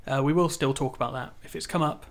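A man co-hosting a casual podcast, chatty and quick to laugh. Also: fairly high pitch, steady tone. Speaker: podcast co-host. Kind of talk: casual chat.

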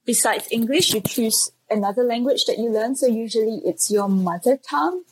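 A breathy, slightly husky woman in her thirties from Singapore answers interview questions. She talks hesitantly, with many fillers and restarts, and comes across as modest. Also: a little high, normal speed, rough recording. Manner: modest, laughs shyly. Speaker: speech pathologist and clinic owner.

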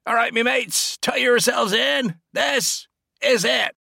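A man's voice: extremely high pitch, takes it slowly, vocal fry, sharp tone, dry recording, studio quality, voice pushed up, heavily acted. A man voicing a crafty old one-legged sailor rogue for a storybook reading. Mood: commanding.

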